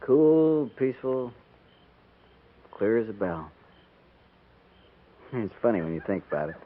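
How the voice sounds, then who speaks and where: A man's voice: on the low side, very animated, measured pace. An American man playing an earnest, dutiful junior cavalry officer in a 1950s radio western, speaking respectfully. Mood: reflective, quiet. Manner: respectful, clipped military address. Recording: tinny, noisy 1950s radio recording.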